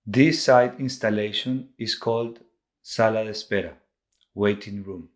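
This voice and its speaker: A low-voiced man from Colombia narrates art projects in English with a Colombian accent. He is a native Spanish speaker, and his scripted delivery is formal and measured. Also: fairly even intonation. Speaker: visual artist.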